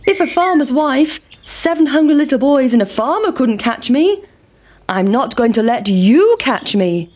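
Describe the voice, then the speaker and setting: A woman voicing a plucky, excitable runaway pancake in a children's storybook, with emphatic exclamations. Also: high voice, unhurried, voice pushed up, full character voice. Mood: defiant.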